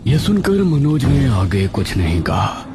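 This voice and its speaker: A man's voice: mid-range voice, sing-song, unhurried, creaky. A man narrating a scripted story in a dramatic storytelling style.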